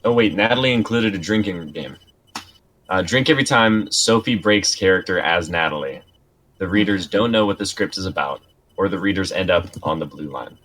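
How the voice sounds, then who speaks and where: Young adult male streamer, very low voice, casual delivery, faint noise behind him. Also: normal speed, a bit bright. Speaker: streamer introducing scripts. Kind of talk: casual group chat.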